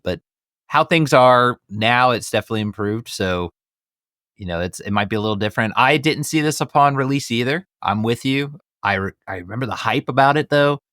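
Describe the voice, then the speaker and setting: American guy doing a casual podcast, upbeat host, kinda deep voice, super dry close mic. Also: fairly bright tone, dry recording. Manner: upbeat, enthusiastic. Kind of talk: casual chatty podcast hosting.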